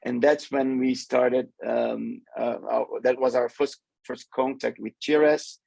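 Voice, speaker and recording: A Brazilian man in his forties speaks English on a webinar, his gravelly voice slightly husky. He talks with many fillers and restarts, and the sound is dry and close. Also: mid-range voice, level tone, measured pace, a little mellow, dry recording. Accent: Brazilian Portuguese. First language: Portuguese. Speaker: guest remediation specialist. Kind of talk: webinar talk.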